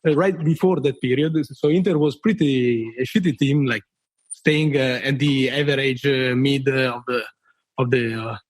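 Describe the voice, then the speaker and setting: A man's voice: medium pitch, no room sound. Hesitant man in his thirties. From Italy. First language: Italian. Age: 30s. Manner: halting, many fillers, swears and laughs freely. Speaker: listener guest. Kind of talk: casual podcast storytelling.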